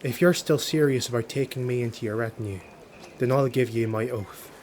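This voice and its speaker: Tough dark elf woman, a ship's first mate, read by a man: shrewd, dry, brisk, businesslike fantasy character. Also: medium pitch, slight background noise, natural voice. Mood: frank, bargaining.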